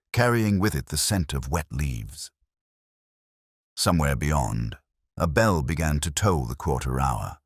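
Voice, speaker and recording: A man narrating a mystery drama as a brooding, reflective detective inspector, in a measured, solemn and literary delivery. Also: very deep voice, dry recording, clean studio sound, full character voice. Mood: grave, weary. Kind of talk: scripted first-person mystery narration.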